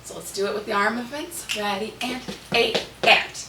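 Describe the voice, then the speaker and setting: A slightly hoarse woman hosts a dance tutorial, giving step-by-step instructions and counts in short rhythmic cues. Her medium-pitched voice comes from a distance in an echoey room, with noticeable background noise. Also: steady tone, moderate pace. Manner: clipped rhythmic counting and cueing of moves.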